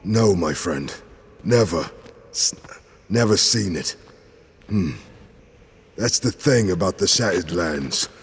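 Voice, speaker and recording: A man acting in an audio drama as a steady, knowing guide and loyal companion, deliberate and reassuring. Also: deep voice, husky, full character voice. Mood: assured. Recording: long reverb, faint ambient noise.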